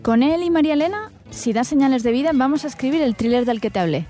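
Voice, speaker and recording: A woman's voice: sits high, expressive, quick pace, dark tone, soft background music. An actress with an accent from Spain playing a coy, pensive woman with her lover in a radio drama. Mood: playful. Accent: Spanish, from Spain.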